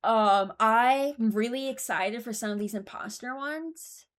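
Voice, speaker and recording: A woman's voice: on the high side, measured pace. A young woman hosting a video with casual, informal commentary on game voice lines, rambling and often interrupting herself. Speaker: streamer and video host.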